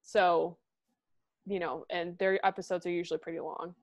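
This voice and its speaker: A young woman from the Midwest, co-hosting a podcast in excitable, chatty talk that slips into casual swearing. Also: fairly low pitch, normal speed, dry recording. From American Midwest. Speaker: podcast co-host. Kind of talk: casual chat.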